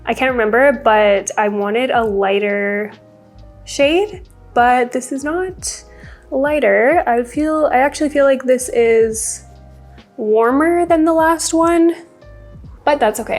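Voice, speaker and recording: A woman's voice: a little high, slow, faint music behind. A young woman with a congested voice, chatting casually through a makeup routine as a beauty vlogger. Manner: chatty, upbeat, rambling product talk. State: congested from a cold. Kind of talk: casual get-ready-with-me chat.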